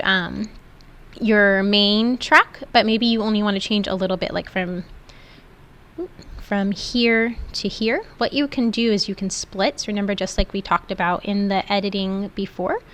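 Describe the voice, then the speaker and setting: A woman's voice: medium pitch, normal speed, a bit bright. A woman instructor giving an informal, step-by-step screen tutorial in an explanatory way. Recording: clearly noisy background.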